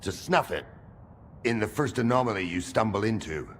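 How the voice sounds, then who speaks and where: A man's voice: lowish voice, expressive, moderate pace, a little hiss or hum, lightly acted. A man playing a suspicious, domineering military interrogator in a video game cutscene. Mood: stern, pressing.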